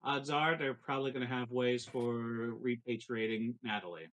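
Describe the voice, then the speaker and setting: Bright-voiced man playing a tabletop game. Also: mid-range voice, steady tone, normal speed, poor audio. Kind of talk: casual game chat.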